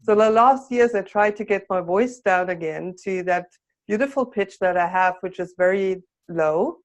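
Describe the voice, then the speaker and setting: Woman, participant, mid pitch, hesitant, lots of ums. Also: moderate pace, highs cut off. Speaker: workshop participant.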